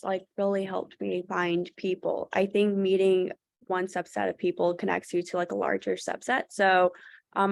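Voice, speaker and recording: A woman's voice: medium pitch, creaky. A young woman from Thailand, a student panelist on a webinar, talking earnestly with many fillers and restarts. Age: young adult. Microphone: video call.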